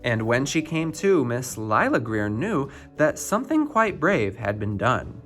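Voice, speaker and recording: A man narrates a rhyming picture book for children, expressive and theatrical. His pitch swings widely and he takes his time, with faint music underneath. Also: measured pace. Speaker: children's storybook reader.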